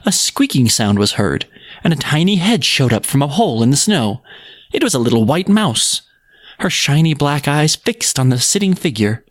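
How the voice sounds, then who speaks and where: A man's voice: fairly high pitch, big pitch swings, normal speed, no room sound, studio quality. A man narrating a scripted folk tale as a podcast storyteller.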